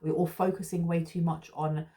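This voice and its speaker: Warm-toned woman reading tarot. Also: fairly low pitch, level tone, moderate pace. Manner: rambling, reassuring, with many fillers and restarts. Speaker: tarot reader. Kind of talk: casual spiritual tarot reading.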